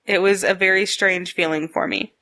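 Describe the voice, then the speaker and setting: Bright-voiced young woman, chatty. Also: medium pitch, steady tone, normal speed. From Texas. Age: 20s. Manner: chatty, laughs often, playful teasing. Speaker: podcast co-host. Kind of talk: casual chat. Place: home in Arizona.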